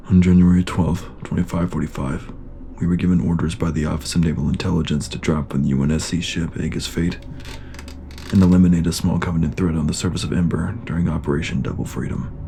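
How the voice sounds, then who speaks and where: Man acting a low-voiced, battle-worn sergeant. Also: steady tone, quick pace, gravelly, warm tone, a little hiss or hum. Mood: formal, grim. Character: bitter, battle-worn soldier, sergeant of shock troops. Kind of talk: sci-fi audio drama acting.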